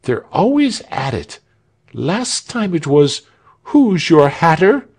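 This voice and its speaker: A man's voice: a little high, big pitch swings, slow, slightly rough, pitched above the performer's own voice, exaggerated voice. A man voicing a thin, proud soldier who complains in old-fashioned speech. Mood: indignant.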